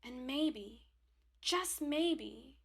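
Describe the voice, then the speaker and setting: A woman's voice: high voice, lively intonation, extremely slow, vocal fry, slightly rough, exaggerated voice. An actress playing a bossy, foul-mouthed woman who hosts a ghost-hunting show in a radio drama, with a dramatic delivery. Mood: dramatic, ominous. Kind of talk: radio drama acting as paranormal show presenter.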